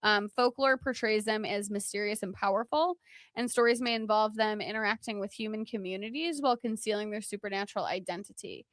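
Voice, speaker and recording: A woman's voice: medium pitch, fairly quick, a bit bright, no room sound. A woman co-hosting a podcast, explaining folklore from notes in an informal, chatty way. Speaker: podcast co-host.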